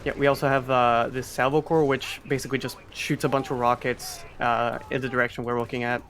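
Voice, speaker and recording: A man's voice: medium pitch, flat delivery, brisk, a bit bright. A man giving explanatory live commentary on a speedrun. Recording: faint background noise, live event stream.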